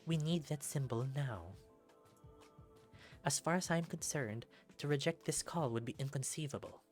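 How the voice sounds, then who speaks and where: A man voicing a stern, formal female bear superhero in a visual novel, speaking in measured, deliberate phrases. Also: fairly high pitch, animated, soft background music, pitched above the performer's own voice, close to an everyday voice. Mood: firm, insistent.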